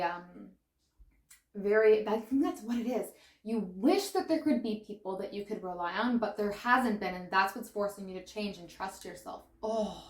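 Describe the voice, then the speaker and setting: A woman giving a tarot card reading in an excitable, gushing way. She says she is losing her voice. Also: medium pitch, roomy sound. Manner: excitable and gushing, exclaiming and laughing. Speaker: tarot reader. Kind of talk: pick-a-pile tarot reading.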